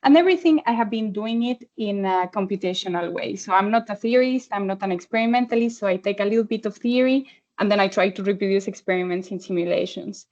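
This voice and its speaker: A woman in her thirties from Mexico with a Mexican Spanish accent, a postdoctoral researcher presenting her work in a friendly, halting way with many fillers. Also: mid-range voice, a little mellow. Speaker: postdoctoral researcher giving a testimonial. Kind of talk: online presentation. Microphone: video call. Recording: dull lo-fi video call audio.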